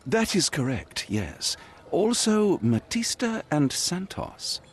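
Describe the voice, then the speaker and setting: A man's voice: high-pitched, very animated, takes it slowly, soft background music, exaggerated voice. An actor playing a flamboyant, flirtatious male host of a masked party, speaking with theatrical swoops. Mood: flirty. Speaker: masquerade host.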